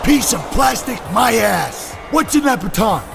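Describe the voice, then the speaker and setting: A man's voice: very high-pitched, expressive, a little slow, gravelly, full character voice. A man voicing an indignant, hot-headed wrestler who blusters in a video game cutscene. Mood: indignant, irritated. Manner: blustering, exclaiming. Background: music.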